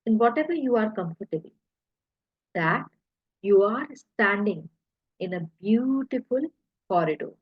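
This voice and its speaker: A woman leading a guided hypnosis relaxation in a soothing, deliberate way, pausing between short phrases, with a husky, breathy voice. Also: medium pitch, expressive, no echo, dull audio.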